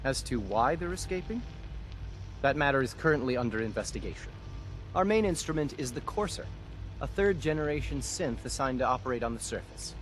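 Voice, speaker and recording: A man's voice: mid-range voice, animated, brisk, close to an everyday voice. Game bureaucrat, male actor: suspicious bureau director, mid-range voice with emphatic swings, cold and officious, music underneath. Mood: stern, irritated. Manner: clipped, officious, condescending. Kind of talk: video game dialogue acting.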